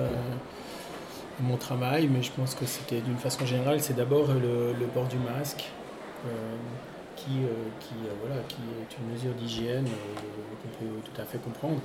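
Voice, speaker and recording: A man giving a thoughtful, hesitant interview answer. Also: medium pitch. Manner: hesitant, thoughtful, many fillers. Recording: echoey room with noise.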